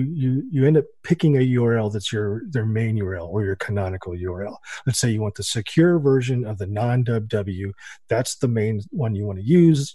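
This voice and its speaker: An American man co-hosting a radio show on a boom mic, explaining things quickly and restarting often. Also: warm tone, no room sound. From United States. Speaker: podcast co-host. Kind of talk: casual radio show chat.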